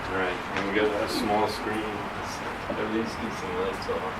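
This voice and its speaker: A soft-spoken middle-aged man giving an informal classroom lecture, asking the room questions as he goes. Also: lowish voice, little pitch movement, moderate pace. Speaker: orthodontics lecturer. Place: lecture hall. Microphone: room mic from a distance. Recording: echoey, distant, noisy room recording.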